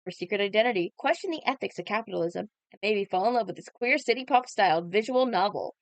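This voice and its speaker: A woman's voice: mid-range voice, animated, fast talker, no echo. A woman hosting a game livestream, reading aloud and reacting as she plays. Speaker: livestream host.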